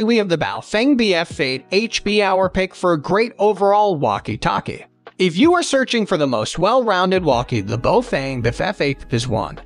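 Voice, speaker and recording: A man reading a scripted countdown of product reviews as a narrator. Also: high voice.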